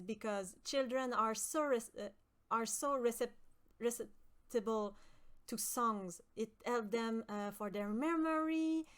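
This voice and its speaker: A young woman from with a high voice, chatting with her stream viewers and often pausing with fillers. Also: fairly bright tone, clear, resonant tone. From Quebec. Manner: hesitant, many fillers and restarts. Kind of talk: casual chat with stream viewers.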